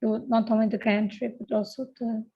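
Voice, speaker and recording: A woman's voice: a little high, little pitch movement, brisk, husky, highs cut off. A woman taking part in an online workshop, asking a tentative question about her work. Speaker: workshop participant.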